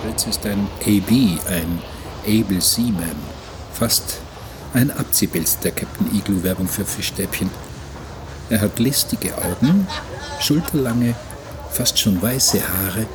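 An actor playing an observant novice galley hand, narrating his voyage diary in a measured, reflective way for a radio play. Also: on the low side, noisy background, lightly acted.

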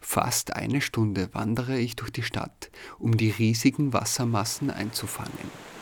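A man's voice: mid-range voice, fairly even intonation, a little slow. A man reading a scripted first-person radio narration. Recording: clear background noise, field-recording ambience.

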